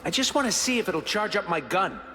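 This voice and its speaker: An actor voicing a cocky, goofy, boyish space outlaw hero who wheedles and blusters casually in a video game. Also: male voice, high voice, animated, a little fast, pushed and bright. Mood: pleading, playful. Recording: long reverb, music behind.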